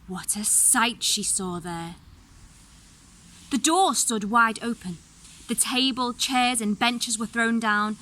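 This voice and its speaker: A woman's voice: on the high side, bright, forceful tone, slight background noise. A woman narrating a fairy tale expressively, with dramatic, varied emphasis. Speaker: story reader.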